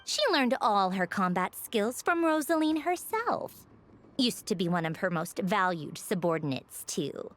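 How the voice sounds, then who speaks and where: A woman playing a confident, chatty female engineer of high rank in a video game, breezy and self-assured. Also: lively intonation, unhurried, a bit bright. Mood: admiring, chatty.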